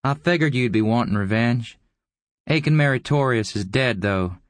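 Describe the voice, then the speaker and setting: A man's voice: medium pitch, moderate pace, dry recording, voice pushed up, natural voice. A man voicing a cocky, taunting killer-for-hire in an audiobook. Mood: casual, informative. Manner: casual, taunting. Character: cocky, mocking male killer-for-hire with easy drawl. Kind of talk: audiobook character acting.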